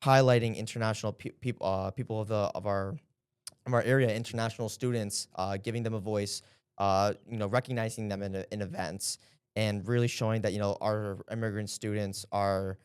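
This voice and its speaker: A man's voice: on the low side, steady tone, a little fast, clear, resonant tone, dry recording, studio quality. A young man answering interview questions in an earnest, hesitant way with many fillers. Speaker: interview guest, former intern.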